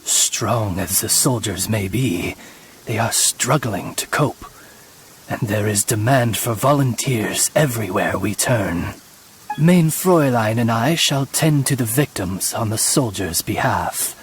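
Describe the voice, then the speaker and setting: A man's voice: lowish voice, expressive, a little slow. A man voicing a formal, loyal raven servant in a video game, with courtly, ornate diction. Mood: solemn, dignified. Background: game music.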